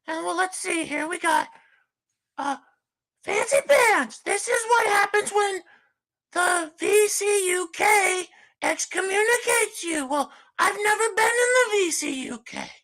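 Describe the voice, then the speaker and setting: A man voicing a gushing woman host in falsetto on a comedic livestream, starting almost every line with "well". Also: animated, a little slow, bright, forceful tone, full character voice. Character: shrill fake woman hostess, gushing. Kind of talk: comedic livestream character bit.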